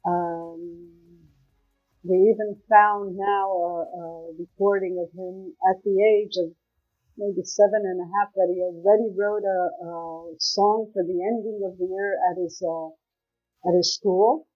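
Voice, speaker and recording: A woman from Israel being interviewed, speaking hesitantly with many pauses. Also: steady tone, breathy edge, soft background music. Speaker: guest, mother of a hostage. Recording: muffled low-quality call audio.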